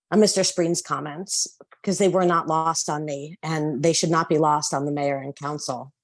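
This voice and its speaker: A woman with a loud voice calling in to make an earnest public comment at a council meeting. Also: low voice, no room sound. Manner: earnest, measured advocacy. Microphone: phone or call-in line.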